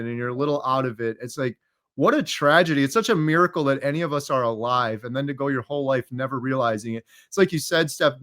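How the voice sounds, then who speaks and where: A man chatting casually as an interview guest, telling things in an animated way and acting out his own thoughts. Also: medium pitch.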